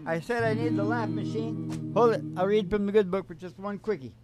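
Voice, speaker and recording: A man's voice: high voice, brisk. An older man chatting casually as a podcast host, rambling in a folksy, motivational way. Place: small curtained stage, indoors. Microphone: stand microphone. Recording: live mic with acoustic guitar. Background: acoustic guitar.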